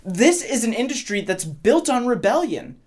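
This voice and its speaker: Female rock fan, played by an actress: brash, blunt, profane, very animated melody, casual arguing for audio drama. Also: on the high side, normal speed. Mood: combative, indignant. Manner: blunt, profane, interrupting, slangy. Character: brash, stubbornly loyal female rock fan, middle-aged.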